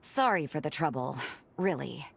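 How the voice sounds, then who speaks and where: Expressive, low-voiced gentle vampire woman. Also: normal speed, gravelly, husky, exaggerated voice. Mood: earnest, apologetic. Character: gentle revenant woman, a vampire who loves cooking. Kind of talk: video game cutscene acting.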